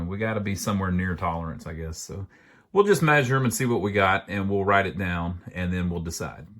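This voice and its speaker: A man's voice: mid-range voice, fairly bright tone. An American man giving a casual hands-on repair walkthrough, thinking aloud in a folksy, plain-spoken way. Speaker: amateur radio repairer, video host.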